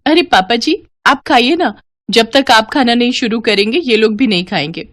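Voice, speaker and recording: A woman voicing a sweet, coaxing young bride and quietly scheming daughter-in-law in an animated moral story. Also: on the high side, normal speed, voice pushed up. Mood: insistent, cheerful. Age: young adult.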